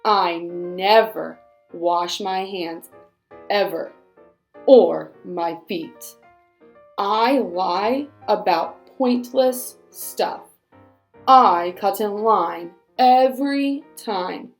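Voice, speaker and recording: Woman putting on a low, grumpy storybook bad seed. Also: soft background music. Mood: boastful. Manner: slow, drawn-out, emphatic delivery. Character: grumpy, boastful bad seed, picture-book troublemaker. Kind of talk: children's storybook read-aloud. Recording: echoey room.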